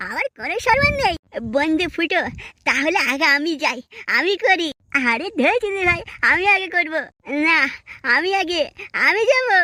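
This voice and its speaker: A woman's voice: extremely high pitch, lively intonation, moderate pace, sharp tone, no room sound, studio quality, exaggerated voice. A woman voicing a brash, boastful young village man in a comic cartoon, repetitive and quarrelsome. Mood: boastful, pushy.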